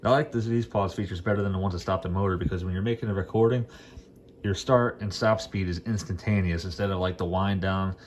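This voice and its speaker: Low-voiced man chatting casually, noisy recording. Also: steady tone, quick pace. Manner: informal, colloquial, rambling, enthusiastic. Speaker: vintage hi-fi hobbyist demonstrating gear. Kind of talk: casual, unscripted product demo chat.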